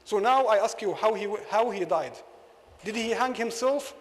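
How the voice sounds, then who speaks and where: Egyptian-accented imam, native Arabic speaker, high voice, argumentative lecture, echoing mosque hall. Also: male voice, moderate pace. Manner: argumentative, rhetorical questions. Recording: long hall reverb.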